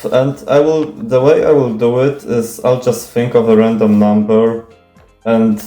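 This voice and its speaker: A man's voice: on the low side, dark tone. A young man, a native Polish speaker, nervously answering viewer questions off the cuff, stuttering and restarting often. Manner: hesitant, stuttering, many fillers and restarts; nervous, self-conscious, casual swearing. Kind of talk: unscripted Q&A monologue. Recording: echoey room, faint music.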